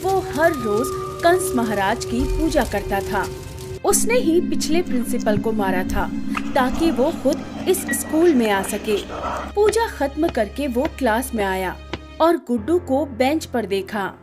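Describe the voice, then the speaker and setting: A woman narrating a scripted bedtime story in an expressive storytelling manner. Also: sits high, slow, loud music behind the voice.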